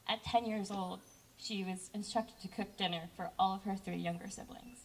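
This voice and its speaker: A young woman giving a heartfelt personal speech to campers, earnest and warm in tone. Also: medium pitch, steady tone, normal speed, recorded from a distance, a little hiss or hum. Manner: heartfelt, earnest, with brief self-corrections. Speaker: camp director giving a speech.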